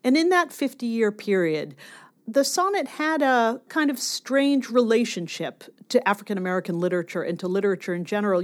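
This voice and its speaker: A woman scholar being interviewed about her work, speaking in an enthusiastic, academic way. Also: mid-range voice. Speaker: guest scholar and author. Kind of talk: interview discussion of literature.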